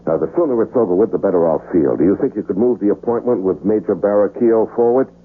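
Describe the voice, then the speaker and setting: A man's voice: fairly low pitch, fast talker, slightly rough. An actor playing a guarded, world-weary man with a shady past, telling his own story in a 1970s radio drama. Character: brooding, guarded amnesiac man in a dangerous trade. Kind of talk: 1970s radio drama acting with first-person narration. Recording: muffled, noisy vintage radio recording.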